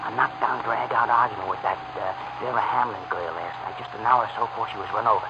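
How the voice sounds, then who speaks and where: An actor playing a sly, resentful fired truck driver turned informant in an old radio crime drama. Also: male voice, on the low side, quick pace, lightly acted. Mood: vengeful, eager. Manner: conspiratorial, slangy, insistent. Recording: noisy muffled old radio recording.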